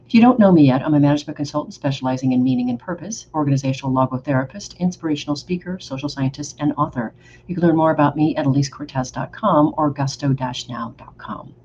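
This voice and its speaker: A woman's voice: low-pitched, fast talker, darkish tone. A woman in her fifties from Oregon hosting an interview podcast, with enthusiastic, effusive questions. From Oregon, United States. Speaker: podcast host. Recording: slightly dull recording with faint background noise.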